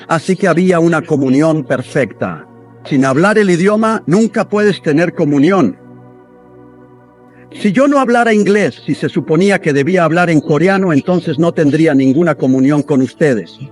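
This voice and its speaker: A man delivering a sermon as a voice-over, in an earnest, steady preaching manner. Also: a little high, normal speed, faint music behind.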